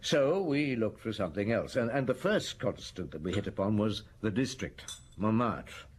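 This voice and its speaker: Brief: an actor as a deliberate detective inspector, expressive pitch, faint hiss. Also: male voice, natural voice. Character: methodical middle-aged male police inspector. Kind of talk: radio drama acting.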